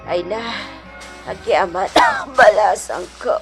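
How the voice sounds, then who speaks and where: A woman playing a poor, sickly, grateful old village woman with an Ilocano accent in a radio drama, speaking plaintively in a frail voice. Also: mid-range voice. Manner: slow, plaintive. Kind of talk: Filipino radio drama acting. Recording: radio drama with music underneath.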